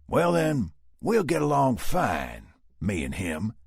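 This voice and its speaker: A man voicing a gruff, sardonic southern man who speaks in a slow, sneering Southern US drawl. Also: mid-range voice, huge pitch swings, dry recording, studio quality, voice pushed up, full character voice.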